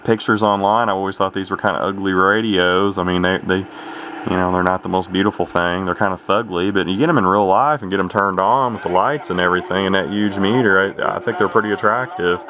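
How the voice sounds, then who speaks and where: Male hobbyist, very low register, matter-of-fact and rambling demo talk over clearly audible noise. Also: steady tone, fairly quick. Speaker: radio hobbyist demonstrating a repaired CB radio. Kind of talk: casual unscripted demonstration talk.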